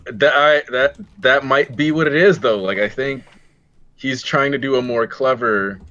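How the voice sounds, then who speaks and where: A man's voice: mid-range voice, measured pace, pushed and bright. A man taking part in a casual anime chat over a dull, lo-fi online voice connection. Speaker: participant in stream discussion.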